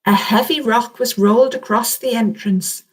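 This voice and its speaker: A woman's voice: a little high, moderate pace, breathy edge. A woman reading a children's story expressively, speaking directly and encouragingly to young listeners.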